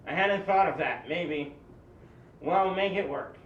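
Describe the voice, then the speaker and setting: A man's voice: high-pitched, unhurried. Man playing a film director, echoey and distant. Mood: matter-of-fact. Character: affable but demanding male film director boss. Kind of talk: amateur script table read. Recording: echoey, muffled, distant mic, faint noise.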